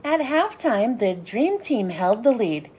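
A woman reading a children's storybook aloud in an animated, sing-song way with deliberate phrasing. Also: on the high side, slightly rough. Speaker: children's book read-aloud host. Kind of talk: expressive storybook narration for young children.

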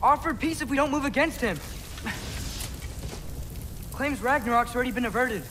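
A man's voice: extremely high pitch, normal speed, bright, forceful tone, a little hiss or hum. A young male actor playing an earnest, impulsive teenage boy adventurer in a Norse fantasy video game, boyish and pleading. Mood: earnest, persuasive. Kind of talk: dramatic video game acting.

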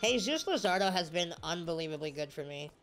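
A young man streaming a baseball game, talking casually and yelling at the plays, a bit congested with a slight cough, on a headset mic at home. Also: fairly high pitch, a little fast. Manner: excitable, yelling at the game, slangy "bro". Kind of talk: casual gameplay commentary.

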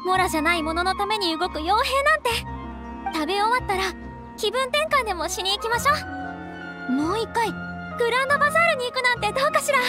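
An actress playing a gentle, earnest young noble lady in a game, caring and girlish, with polite, emotive feminine speech. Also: very high voice, lively intonation, quick pace. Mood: admiring, encouraging. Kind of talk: dramatic anime-style game dialogue. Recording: clear music behind the voice. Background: background game music.

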